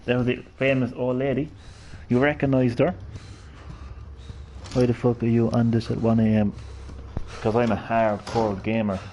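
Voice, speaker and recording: A man's voice: medium pitch, little pitch movement, measured pace, long pauses, a little mellow, a little hiss or hum. A man in his thirties with a Cork Irish accent, chatting to his viewers as he streams a game. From Ireland. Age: 30s. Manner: chatty, jokey, talks to chat. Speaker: live streamer. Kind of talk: live gameplay commentary.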